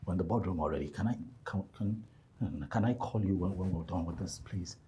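A man's voice: deep voice, brisk, dark tone, natural voice. An actor playing a businessman who stammers and pleads on the phone with his wife before a big meeting. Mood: pleading. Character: flustered businessman dodging his wife's call.

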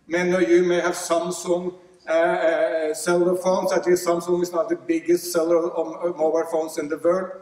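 A middle-aged man with a Norwegian accent speaks at a podium microphone, his voice sitting a touch high for a man. He talks earnestly, often restarting with fillers as he builds his point. The sound carries a long, roomy reverb, heard from some distance in a large hall. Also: little pitch movement, normal speed, darkish tone. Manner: gesturing broadly, earnest, with frequent fillers and restarts. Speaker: keynote speaker. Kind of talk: conference keynote speech. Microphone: podium microphone, filmed from afar. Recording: echoey hall from far off.

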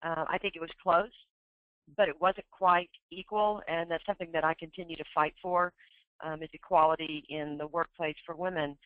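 A woman's voice: sits low, steady tone, normal speed, no room sound. A woman answering as an interview guest in a conversational style over the phone. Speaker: interview guest, women's leadership mentor. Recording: muffled phone line.

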